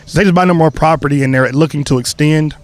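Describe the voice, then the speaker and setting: A man talking casually in an interview, relaxed with many fillers and a cleared throat now and then. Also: fairly high pitch, fast talker. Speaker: creator of a stage play, interview guest.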